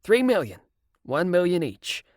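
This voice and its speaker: A man's voice: high voice, wildly swooping pitch, a little slow, no echo, studio quality, pitched above the performer's own voice, heavily acted. A man reading aloud and voicing a nervous, evasive male TV producer. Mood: insistent.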